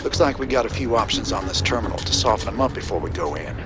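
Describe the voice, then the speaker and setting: A man playing a gruff, wry rebel commander in a video game, drawling and cracking dry jokes. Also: on the low side, lively intonation, fast, close to an everyday voice. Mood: commanding. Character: gruff male space marshal, wry and laid-back. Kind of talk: video game cutscene and mission dialogue. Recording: game audio over loud music.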